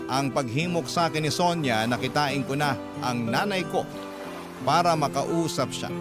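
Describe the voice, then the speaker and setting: Higher-voiced Filipino man narrating a drama. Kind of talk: scripted first-person story narration. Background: prominent background music.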